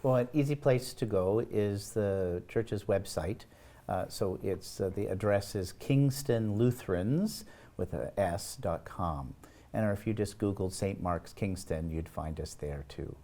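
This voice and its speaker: A man's voice: fairly low pitch, measured pace, dark tone. A man giving explanatory, somewhat hesitant interview answers as a church representative.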